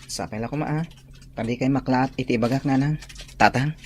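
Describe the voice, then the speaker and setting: A man's voice: medium pitch, brisk, darkish tone, slight background noise, lightly acted. A man acting an earnest young son who hesitantly tells his parents he wants to become a priest, in a radio drama.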